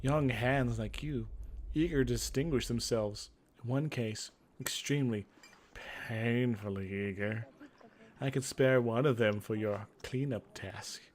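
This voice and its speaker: A man voicing a stern, weary female senior advisor in a fantasy game, speaking in a dry, measured way. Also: mid-range voice, natural voice.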